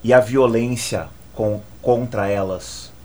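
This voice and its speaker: Man, interviewer, halting questions, conversational. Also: medium pitch, fairly even intonation, dark tone. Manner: halting, restarts questions often.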